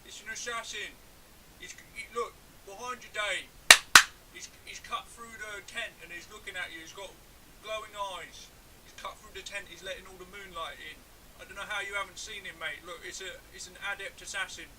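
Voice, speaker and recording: A man's voice: high voice, moderate pace, lightly acted. A man playing a panicky, exasperated friend in a comic skit, stammering urgent, repeated warnings. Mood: comic alarm. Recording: very noisy, distant microphone.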